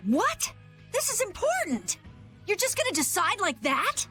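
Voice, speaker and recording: Excitable, high-voiced young girl scholar. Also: measured pace, faint music behind, full character voice. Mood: shocked, indignant. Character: blunt, excitable young girl scholar explaining magical formulas. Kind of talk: English dub of anime role-playing game.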